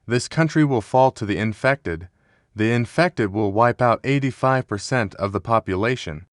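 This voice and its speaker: A man performs a grave government health official in a scripted disaster drama. His voice sits fairly low and is recorded dry. He speaks formally and somberly, as one announcing catastrophe. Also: dry recording, natural voice. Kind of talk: scripted emergency address.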